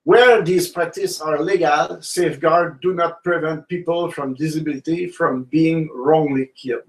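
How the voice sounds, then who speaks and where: Halting man, a bit off-mic. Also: on the high side, steady tone, far from the mic. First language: French. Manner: halting, searches for words in his second language. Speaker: co-host. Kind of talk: casual panel discussion.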